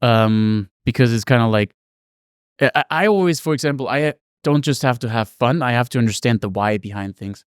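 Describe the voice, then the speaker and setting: Clear-voiced man hosting a casual podcast. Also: medium pitch, brisk, dry recording, studio quality. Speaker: podcast host.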